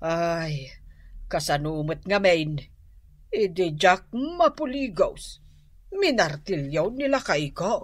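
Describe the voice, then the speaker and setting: Slow-talking, nagging older Ilocano wife. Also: female voice, fairly low pitch, lively intonation, slightly rough, full character voice. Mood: weary complaining. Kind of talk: Ilocano radio comedy drama acting.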